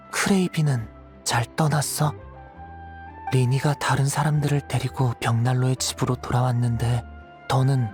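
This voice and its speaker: An actor playing a weary young man in a game, talking in a calm, gentle way. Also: mid-range voice, close to an everyday voice. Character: weary, soft-spoken young person recovering in bed. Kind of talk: game voice acting. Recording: music under the voice.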